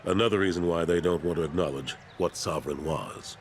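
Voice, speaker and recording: Low-voiced, weary older statesman. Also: a little hiss or hum. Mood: guarded, grave. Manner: measured, earnest, resigned. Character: weary, dutiful older military statesman, measured and sincere. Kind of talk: video game cinematic acting.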